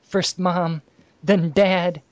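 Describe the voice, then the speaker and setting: A woman's voice: on the low side, steady tone, extremely slow, a little mellow, dry recording. A woman voicing a grieving, pleading boy in an amateur story reading. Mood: sad, tearful.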